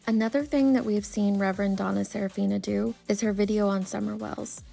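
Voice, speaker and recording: A woman delivers scripted online commentary in an earnest, measured manner. Her medium-pitched voice is noticeably creaky. The tone stays mellow and dark, without any sharp edge. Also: low-quality recording. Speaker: true crime YouTube commentator. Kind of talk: scripted commentary, reading and summarising an article.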